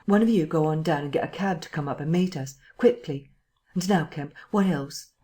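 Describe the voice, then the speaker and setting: A woman reader voicing a decisive police chief, a man who speaks in brisk, clipped orders. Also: deep voice, mellow, natural voice.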